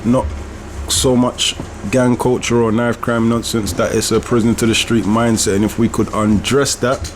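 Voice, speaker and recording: A man hosting a reflective podcast conversation, speaking in an earnest, deliberate way. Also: mid-range voice, little pitch movement, a little hiss or hum. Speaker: podcast host and author.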